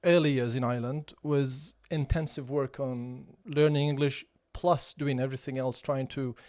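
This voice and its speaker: A Kurdish-accented man in his forties talks through his background in a measured, reflective way. He pauses often and restarts phrases. His voice sits at an ordinary pitch in a dry, close-miked room. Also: fairly even intonation, a little mellow, no echo. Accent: Iraqi Kurdish. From Kurdistan region of Iraq. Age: 40s. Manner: thoughtful, with many fillers and restarts. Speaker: podcast guest, chief executive of a cultural centre. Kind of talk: conversational interview.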